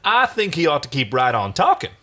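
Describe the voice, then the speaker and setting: A man voicing a manic, taunting male astronaut hero with a loud, sing-song, mocking delivery. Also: mid-range voice, expressive, measured pace, pitched above the performer's own voice. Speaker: fan fiction reader.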